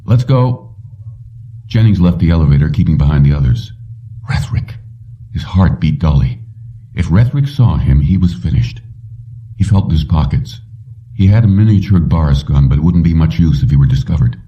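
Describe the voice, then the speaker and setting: A man reading a suspense story as an audiobook narrator, in measured, dramatically broken phrases. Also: very deep voice, mellow, recorded from a distance, highs cut off.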